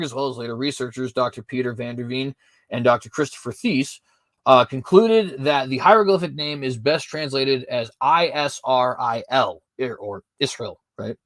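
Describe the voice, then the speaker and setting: Male host, mid-pitched voice, dry close recording, reads notes and corrects himself often. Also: fairly bright tone, no room sound. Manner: reads prepared text, frequent fillers and self-corrections. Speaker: podcast host. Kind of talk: apologetics commentary reading notes.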